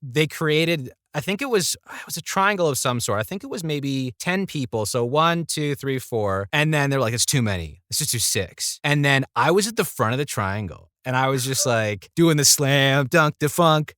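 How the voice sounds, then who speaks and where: A man telling stories casually as a podcast guest, in an animated way that acts out what people said. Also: medium pitch, normal speed, few pauses, no echo, clean studio sound. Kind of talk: casual conversational storytelling.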